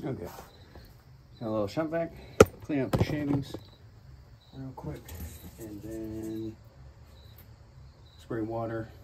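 A man's voice: lowish voice, steady tone, slow, long pauses, heavy background noise. Man muttering through a basement repair. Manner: muttering, thinking aloud as he works. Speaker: DIY homeowner filming a repair. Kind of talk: casual narration while working. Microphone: handheld phone camera.

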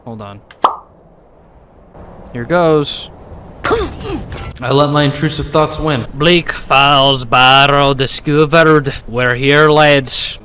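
A man's voice: mid-range voice, fairly even intonation, slow pace, long pauses, pushed and bright, a little hiss or hum. A man in his twenties with an American accent, giving sarcastic, joking, sweary gameplay commentary into a desk microphone. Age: 20s. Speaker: gaming YouTuber. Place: small home room, indoors. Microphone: desk condenser microphone with headset worn.

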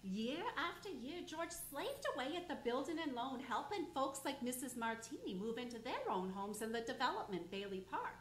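A woman narrating a radio play as a heavenly figure, hushed at sad moments. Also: high-pitched, sing-song, moderate pace, hardly any pauses, a little hiss or hum. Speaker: narrating angel. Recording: roomy, with loud music under.

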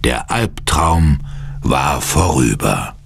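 An actor narrating a horror audio drama in a grave, measured, dramatic manner. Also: male voice, rumbling low, lively intonation, gravelly, a little hiss or hum, heavily acted. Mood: grave, ominous.

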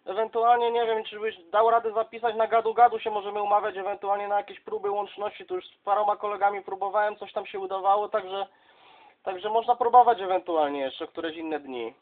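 A man's voice: sits high, fairly even intonation, brisk. A man from southern Poland making casual, chummy contact as a CB radio operator, addressing others as colleagues. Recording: dull, lo-fi sound.

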